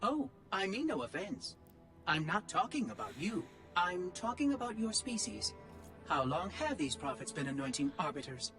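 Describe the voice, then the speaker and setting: An actor playing a fussy, condescending machine intelligence in a video game, with precise, prim and patronising phrasing. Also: male voice, high voice, sing-song, normal speed, over music. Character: fussy, condescending male artificial intelligence machine, sly and verbose. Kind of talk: video game cutscene acting.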